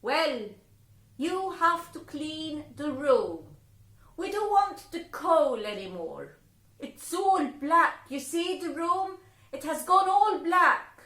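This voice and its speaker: A woman voicing bossy fairy captors who give harsh orders in a loud, scolding manner. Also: sits high, animated, slow, echoey room, pitched above the performer's own voice, full character voice.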